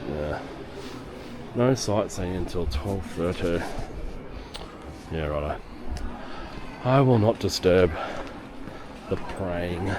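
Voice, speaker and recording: A man with a hoarse voice from a lingering cough, giving chatty walking-tour commentary on busy city streets. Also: low voice, expressive, slow pace, long pauses. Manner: chatty, rambling, with fillers. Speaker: travel vlogger. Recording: outdoor street noise. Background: city street noise and traffic.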